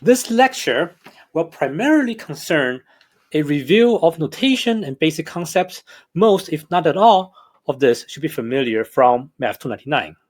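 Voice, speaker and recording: A man's voice: a little high, very animated, normal speed. A man with a slight Hong Kong accent, a math professor narrating a recorded lecture in a careful, explanatory way. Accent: Hong Kong English.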